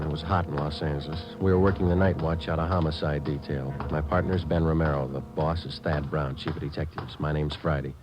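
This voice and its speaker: An actor playing a businesslike detective sergeant in an old-time radio crime drama, speaking in a clipped, deadpan, polite way. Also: male voice, very deep voice. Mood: deadpan, matter-of-fact. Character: dedicated, businesslike male police detective sergeant. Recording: noisy old radio broadcast recording.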